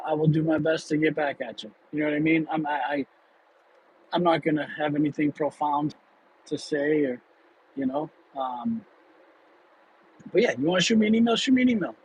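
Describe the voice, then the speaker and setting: A man's voice: a little high, moderate pace, long pauses, breathy edge, muffled recording. Candid man chatting from his car. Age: 30s. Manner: relaxed, candid, rambling with fillers and restarts. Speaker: livestream host. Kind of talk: casual livestream chat. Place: car in traffic.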